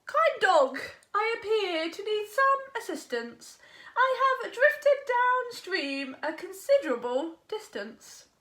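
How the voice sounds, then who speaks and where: A woman's voice: very high-pitched, very animated, slow, fairly bright tone, voice pushed up, heavily acted. A woman voicing a stubborn, polite old male frog in a storybook, speaking in earnest, formal rhyme. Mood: polite pleading.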